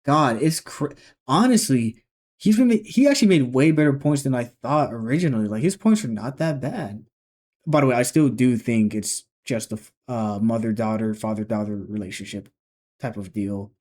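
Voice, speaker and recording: A man's voice: medium pitch, expressive, moderate pace, darkish tone. A man in his twenties gives casual reaction commentary, thinking aloud and restarting his sentences. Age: 20s. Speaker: reaction video host.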